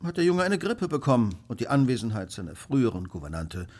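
Radio play role: smug male detective genius, Victorian, analytical, rough-edged voice, highly varied intonation. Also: sing-song, fast, darkish tone. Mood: analytical, assured. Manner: precise, self-assured, lecturing. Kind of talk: German radio play acting.

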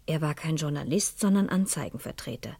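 An actress playing a grave, anxious middle-aged lady in a radio play, speaking in a measured, formal and brooding way. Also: low-pitched, lively intonation, creaky, dark tone, husky, dry recording, exaggerated voice. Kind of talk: 1970s German radio play acting, part narrated monologue.

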